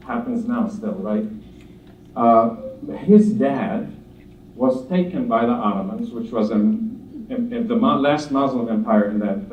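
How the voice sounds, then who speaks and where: A man's voice: medium pitch, slight background noise. Lebanese-born guy giving a funny earnest talk at a conference, kinda far from the mic, echoey hall, muffled sound. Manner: humorous, earnest storytelling with asides. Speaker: speaker at a conference. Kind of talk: motivational speech. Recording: distant roomy hall sound, dull.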